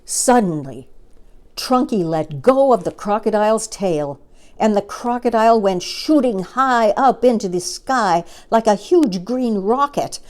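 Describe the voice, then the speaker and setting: A woman narrating a children's story as an expressive storyteller, reading from a book. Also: medium pitch, a little slow.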